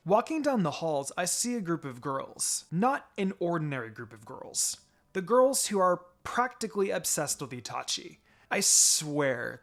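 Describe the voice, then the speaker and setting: A man's voice: fairly high pitch, a bit bright. A man reading fan fiction aloud as a dramatic first-person story. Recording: music behind the voice. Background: background music.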